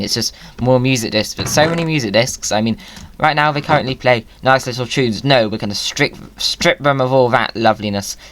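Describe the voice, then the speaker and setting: A man's voice: on the low side, a bit bright. Playful man narrating his gaming video. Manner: playful, whimsical wording. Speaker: video creator. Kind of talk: casual gaming commentary.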